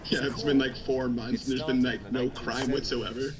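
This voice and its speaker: A man's voice: medium pitch, steady tone, moderate pace, a crowd in the background. A man joking with co-hosts about a game, mimicking characters for laughs. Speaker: game magazine staff host. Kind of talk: casual chat over gameplay.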